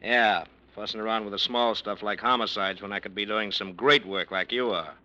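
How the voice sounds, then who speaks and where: An actor playing a cynical, needling homicide lieutenant in a 1940s radio crime drama. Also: male voice, on the low side, steady tone, normal speed, bright, forceful tone, muffled recording. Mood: sarcastic. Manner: sardonic, needling, tough.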